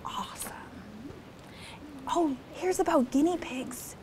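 A young woman hosting a children's story time, reading aloud in an expressive, sing-song way and chatting with the kids. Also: sits high, slow pace, in a whisper. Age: young adult. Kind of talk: animated read-aloud to children, with chat. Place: indoor studio set with children seated on a rug.

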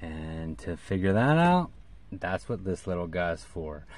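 A middle-aged man giving a casual, hands-on how-to in a halting way, recorded on a handheld camera outdoors by a vehicle. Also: sits low, big pitch swings, a little mellow, no echo. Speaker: CB radio hobbyist presenter. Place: outdoors in and beside a parked vehicle in an open field. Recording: clear background noise, outdoor ambience.

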